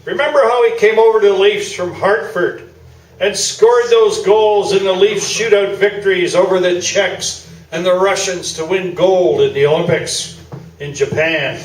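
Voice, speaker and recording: A man's voice: high-pitched, steady tone, a little slow. A man playing a pompous, self-satisfied newspaper sports columnist in a live staged radio play, talking in grandiloquent phrases. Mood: proud, grandiose. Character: pompous, boosterish male newspaper sports columnist, staunchly loyal. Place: small theatre stage, indoors. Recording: roomy, distant live theatre video, noisy.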